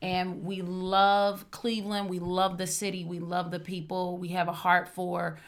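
A woman from Oklahoma hosting a podcast, upbeat and expressive in casual chat. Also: on the low side, a little slow. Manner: upbeat, expressive, says "honey". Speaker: podcast host.

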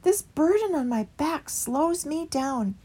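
A woman's voice: high voice, lively intonation, slow, dark tone, pitched above the performer's own voice. Earnest young mouse, as a woman voices him.

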